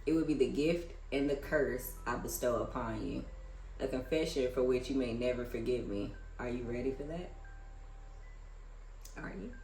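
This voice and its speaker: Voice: mid-range voice, moderate pace, a little mellow, roomy sound, soft background music, rough recording. An American reader with an informal, conversational manner, reading books aloud and adding casual commentary. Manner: informal, conversational asides between read passages. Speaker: book reader and reviewer hosting a read-aloud.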